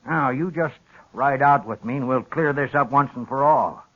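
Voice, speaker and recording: Casting: man to play defiant, plain-spoken old cattleman, medium pitch, rural western American accent, old muffled radio western. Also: moderate pace, natural voice. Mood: insistent, protective. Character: stubborn, blunt old rancher, protective father. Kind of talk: 1950s radio western acting. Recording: dull vintage radio recording.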